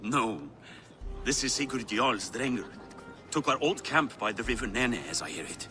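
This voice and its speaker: An actor playing a blunt, scornful Viking war chief in a dramatic video game. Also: male voice, mid-range voice, animated, unhurried, sharp tone, a little hiss or hum. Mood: gruff, practical.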